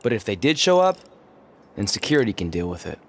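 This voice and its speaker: Lively, wry young wrestler. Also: male voice, fairly low pitch, fast talker. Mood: defensive. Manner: conversational, dry sarcasm. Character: wry young male aspiring wrestler, stubborn, flippant. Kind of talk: video game cutscene acting.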